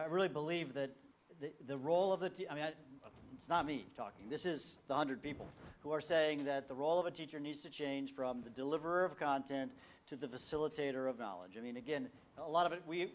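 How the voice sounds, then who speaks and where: Energetic man answering audience questions. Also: on the high side. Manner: energetic, rallying, restarts often. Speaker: panel speaker at education summit. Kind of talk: live Q&A answers on stage. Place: conference hall with audience.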